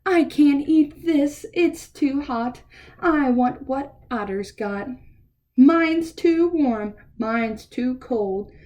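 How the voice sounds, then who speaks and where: Storytime lady doing picky cafe animals, whiny and pushy, pitched up high, dragging words out. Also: extremely slow, mellow. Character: demanding, picky cafe animals complaining about food.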